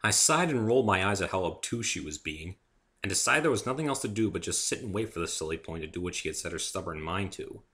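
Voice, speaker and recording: A man's voice: deep voice, animated, fast talker, pushed and bright. A man reading a first-person story aloud as a dramatic narrator.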